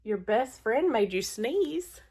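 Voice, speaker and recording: A woman's voice: on the high side, very animated, takes it slowly, dark tone, husky. A woman reading a storybook aloud to children, adding chatty asides and questions for the listeners.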